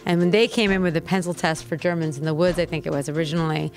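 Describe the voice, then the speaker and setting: Low, creaky-voiced woman in an interview. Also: steady tone, fast talker, faint music behind. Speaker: organization spokesperson interviewee. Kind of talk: interview explanation.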